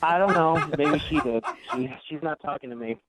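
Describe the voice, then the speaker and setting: A man's voice: fairly high pitch, moderate pace, a crowd in the background. A man calling a radio show over the phone, telling his story in a casual conversational way. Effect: phone line. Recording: dry, narrow phone line.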